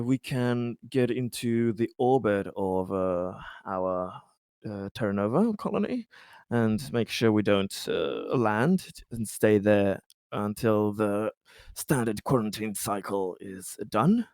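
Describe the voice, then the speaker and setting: A man speaks in character at a tabletop game. His voice is fairly low and clear, recorded very dry. He chooses formal words and pauses often as he builds each sentence. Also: expressive, darkish tone, no room sound. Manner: hesitant, measured, formal wording. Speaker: tabletop roleplaying player. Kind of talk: in-character tabletop roleplay talk.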